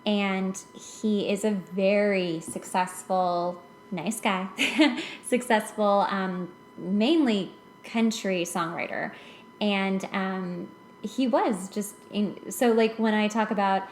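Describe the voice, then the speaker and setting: A woman's voice: mid-range voice, slow pace. An American woman in her twenties chats casually about her own life with a self-deprecating manner. Her voice sits in an echoey room with faint noise behind it. She sounds like a podcast host in informal conversation. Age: late 20s. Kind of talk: casual personal storytelling in conversation.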